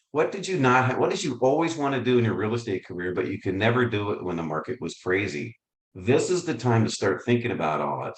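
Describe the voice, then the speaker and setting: Male presenter, low voice, roomy echo, friendly and self-deprecating on a video call. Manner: upbeat, conversational, self-deprecating. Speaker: real estate coaching presenter. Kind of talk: webinar presentation.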